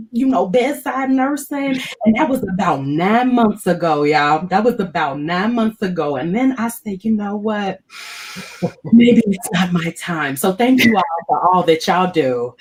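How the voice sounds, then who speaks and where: A woman's voice: mid-range voice, lively intonation, measured pace. A woman in her thirties from Ohio, chatting informally and playfully as a nurse on a panel. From born and raised in Cincinnati, Ohio. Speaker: community health nurse panelist. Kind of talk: casual chat.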